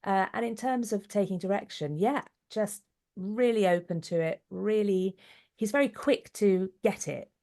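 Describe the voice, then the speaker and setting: A middle-aged woman giving a casual interview, telling stories in a chatty, animated way. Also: mid-range voice, unhurried. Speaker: interview guest, actress and director.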